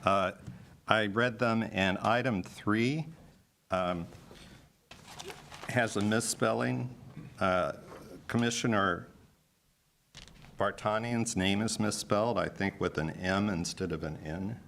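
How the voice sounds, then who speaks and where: A man's voice: on the low side, gravelly, a bit bright, a little hiss or hum. A man commissioner making a careful comment at a public meeting. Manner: slow and careful.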